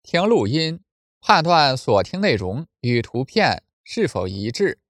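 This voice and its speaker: A man briskly reading short test instructions for a recorded lesson. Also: mid-range voice, sing-song, fairly bright tone, no echo, studio quality. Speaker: instruction announcer.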